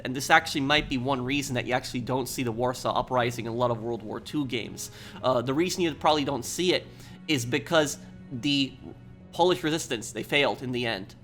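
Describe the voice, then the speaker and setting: A man's voice: medium pitch, a bit bright. A man from the United States speaking casually and earnestly as a gaming YouTuber, explaining things as he introduces a playthrough. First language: English.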